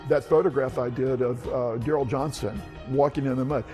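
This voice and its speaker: A man's voice: medium pitch, fairly even intonation, fairly quick, a little mellow, faint music behind. A man in his seventies from Texas, a veteran photographer reminiscing in an interview. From Texas, United States. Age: 70s.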